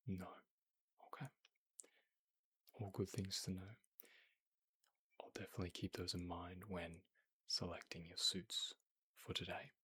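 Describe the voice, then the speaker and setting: A man playing a courteous, attentive boutique tailor in an ASMR roleplay, murmuring and whispering gently, politely and without hurry. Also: low-pitched, flat delivery, unhurried, long pauses, full character voice. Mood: gentle, attentive. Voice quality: breathy, whispery. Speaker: ASMR performer.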